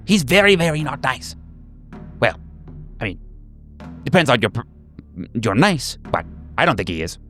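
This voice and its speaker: A man's voice: medium pitch, very animated, moderate pace, pauses often, a bit bright, soft background music, pitched above the performer's own voice. A man voicing a nervous, eager male patient in a horror tabletop game, stammering and sounding congested. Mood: fearful, hushed warning. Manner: stammering, repeating himself.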